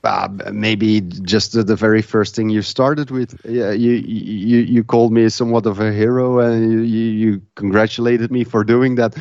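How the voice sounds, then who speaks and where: A man from Flanders with a Flemish Dutch accent, speaking English as a second language, talking haltingly with many fillers as a guest in a casual interview. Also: lowish voice, fairly even intonation, a little mellow, dry recording, muffled recording. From Belgium, Flanders. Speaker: event organizer, guest.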